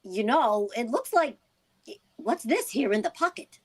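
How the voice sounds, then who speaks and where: A woman's voice: a little high, lively intonation, measured pace, husky. A woman playing a concerned, persistent housewife in a vintage-style radio play, earnest and inquisitive. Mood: curious. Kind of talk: vintage radio drama acting.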